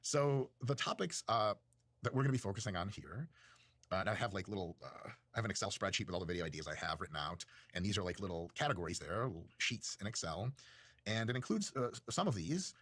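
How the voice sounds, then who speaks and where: A man's voice: on the low side, expressive, fast, a bit bright, dry recording. A man from Russia, giving a casual, rambling talk on philosophy in a profane, self-mocking way. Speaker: video creator. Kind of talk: casual monologue lecture.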